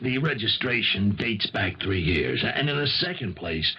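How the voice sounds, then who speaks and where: An actor playing a plodding, hesitant police lieutenant in a 1970s radio drama, methodical and slightly husky. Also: male voice, lowish voice, sing-song. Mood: patient, insistent. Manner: halting, methodical, full of hems and haws. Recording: dull 1970s radio broadcast recording.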